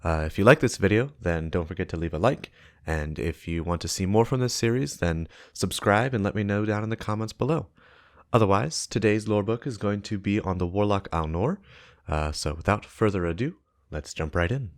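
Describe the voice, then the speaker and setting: A man's voice: fairly low pitch, moderate pace, no echo, studio quality. A man hosting his own video, giving a casual introduction as its narrator.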